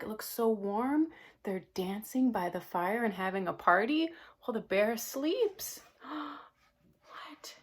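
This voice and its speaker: A woman reading a picture book aloud to children, expressive, with gasps and chatty asides. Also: mid-range voice. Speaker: storybook reader.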